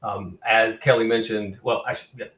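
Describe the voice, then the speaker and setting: A man's voice: lowish voice, flat delivery, a little fast, a bit bright. A middle-aged man with a Southern US accent giving an informal online lecture, often repeating words as he goes. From American South. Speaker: guest presenter, cemetery conservation consultant. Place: online video call, echoey room. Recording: roomy, echoing webinar audio.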